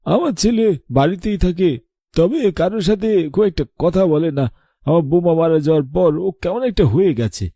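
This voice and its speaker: A man's voice: high voice, expressive, a little fast, dark tone, no room sound, studio quality. A man voicing a polite, troubled elderly village father-in-law in a horror cartoon, hesitant and questioning. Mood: troubled, disbelieving.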